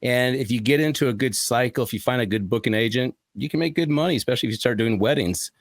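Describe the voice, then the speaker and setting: A man co-hosting a radio talk show who talks in an energetic, opinionated way about music. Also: mid-range voice, fast talker, dry recording. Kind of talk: casual radio chat.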